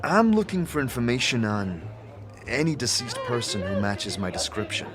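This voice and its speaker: A man's voice: mid-range voice, big pitch swings, normal speed, close to an everyday voice. A man playing an inquisitive wanderer in an audio drama, asking questions in a casual, slightly hesitant way. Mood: tentative. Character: inquisitive adult male wanderer seeking information. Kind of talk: acted audio drama dialogue and narration. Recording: music under the voice.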